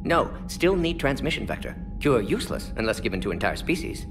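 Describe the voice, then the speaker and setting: A fast, clipped alien scientist whose pitch jumps around as he thinks out loud. He drops words and rattles through his reasoning. Game music plays under him. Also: very animated. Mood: brisk. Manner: clipped, rapid, telegraphic phrasing. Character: brisk male alien scientist, clipped speech. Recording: game audio with music bed.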